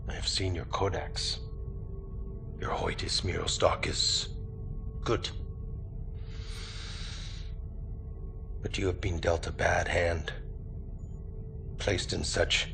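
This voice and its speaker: An actor playing a stern older clan warrior mentor in a game cutscene, speaking gravely and deliberately with weighty pauses. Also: male voice, sits low, little pitch movement, music in the background, exaggerated voice. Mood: stern, solemn. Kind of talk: dramatic game cutscene acting.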